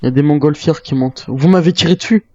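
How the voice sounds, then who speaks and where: A man's voice: a little high, fast talker, a little mellow, dull audio, close to an everyday voice. A man playing a guarded retired military man turned hunter in an improvised zombie game roleplay, with terse questions and replies. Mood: tense, wary.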